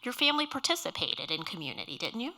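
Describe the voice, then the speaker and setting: A woman moderating an onstage interview, telling background in a measured, earnest way. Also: on the high side, sing-song, creaky, bright, forceful tone. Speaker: moderator of a speaker series. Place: museum stage before an audience.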